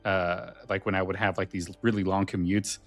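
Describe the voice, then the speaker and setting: A man's voice: low voice, flat delivery, moderate pace, dry recording, soft background music. A man chatting casually as a podcast host, rambling and a little hesitant. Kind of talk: casual chat.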